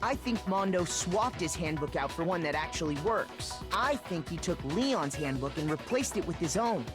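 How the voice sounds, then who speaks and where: Guy voicing earnest high school boy in an anime mystery game, high male voice, explains stuff carefully. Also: animated, normal speed, music in the background, close to an everyday voice. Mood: firm, reasoning. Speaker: voice actor in a fan dub. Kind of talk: fan-dubbed visual novel dialogue.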